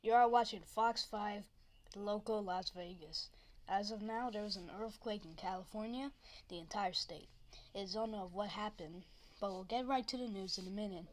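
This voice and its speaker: Mid-pitched woman doing a mock news report. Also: normal speed. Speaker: news anchor in an amateur scenario.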